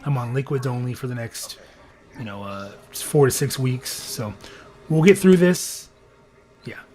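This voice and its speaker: A man with a raspy voice after recent throat surgery, hosting a casual live podcast and rambling through his thoughts with many fillers. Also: medium pitch, expressive, measured pace, darkish tone. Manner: rambling, thinking aloud with fillers. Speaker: podcast host. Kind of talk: casual livestream chat.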